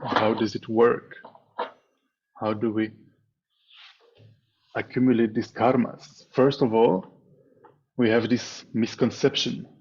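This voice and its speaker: A man leading a guided meditation as a teacher, speaking in a measured way with pauses between short phrases over a slightly dull video call. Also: mid-range voice, level tone, darkish tone, slightly rough. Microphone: video call microphone.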